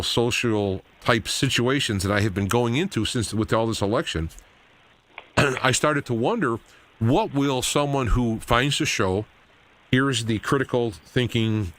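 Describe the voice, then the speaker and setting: Male talk show host, fairly low voice, unscripted and meandering, halting phrasing. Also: a bit bright. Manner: rambling, halting delivery.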